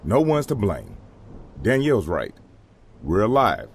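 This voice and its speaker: A man's voice: fairly low pitch, wildly swooping pitch, a little slow, a little hiss or hum, full character voice. Man playing horror drama survivor, emphatic, intense, extremely varied intonation. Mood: grieving, resolved. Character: urgent male survivor of a horror ordeal. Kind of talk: audio drama acting.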